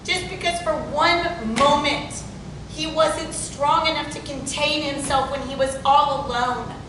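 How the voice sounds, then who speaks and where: A woman's voice: fairly even intonation, unhurried. A woman playing a fiercely loyal, strong-willed working-class wife in a stage tragedy reading, insistent and firm. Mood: earnest pleading. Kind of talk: theatrical table reading of Southern Gothic tragedy. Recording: very echoey, distant room with rumbling noise.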